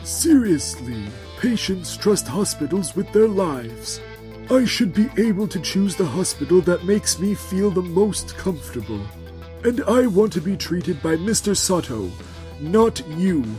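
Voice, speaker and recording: A man voicing a particular, politely critical VIP patient in a manga dub, speaking in a measured, blunt way. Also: high-pitched, very animated, mellow, soft background music. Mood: firm. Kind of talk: animated romantic-comedy dub acting.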